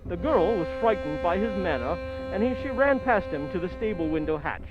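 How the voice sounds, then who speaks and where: A man playing a genial gentleman narrator in an old-time radio drama, telling the tale in an earnest, formal way. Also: high voice, expressive, normal speed. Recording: dull lo-fi sound, faint music.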